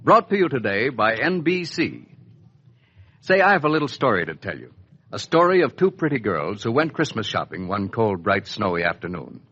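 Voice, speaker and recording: A man announcing and narrating a 1950s radio serial in a scripted, dramatic storytelling style with deliberate emphasis. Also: lowish voice, sing-song, bright, forceful tone, slightly rough. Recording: muffled old 1950s radio recording.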